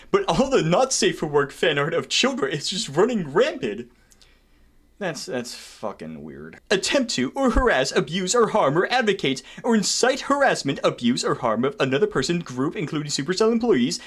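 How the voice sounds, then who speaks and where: High-pitched, animated man reading aloud. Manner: irreverent, sweary, theatrically indignant asides. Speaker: content creator reading terms of service aloud. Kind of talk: reading a document aloud with joking asides.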